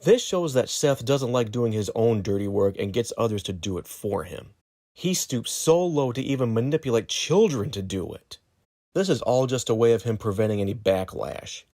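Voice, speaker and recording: A man's voice: mid-range voice, lively intonation, normal speed, dark tone, no room sound, clean studio sound. A man reading scripted narration for an exposé video.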